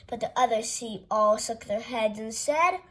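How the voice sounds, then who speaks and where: A woman reading a rhyming children's picture book aloud with playful, expressive delivery. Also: on the high side. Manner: unhurried, expressive read-aloud with playful character voices. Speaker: storytime reader.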